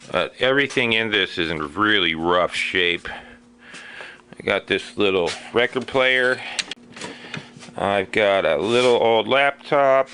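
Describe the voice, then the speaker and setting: Male vlogger, slightly low voice, unhurried pace, plainspoken and matter-of-fact, unscripted show-and-tell. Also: background noise. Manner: plainspoken, matter-of-fact item listing with pauses. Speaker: vlogger showing storage auction finds. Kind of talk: casual unscripted narration to camera.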